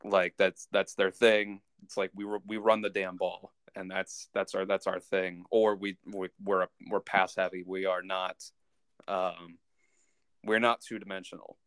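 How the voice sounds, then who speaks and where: A man hosting a casual sports podcast, talking in a halting way as he thinks out loud. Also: sits low, little pitch movement, clear, resonant tone, dry recording.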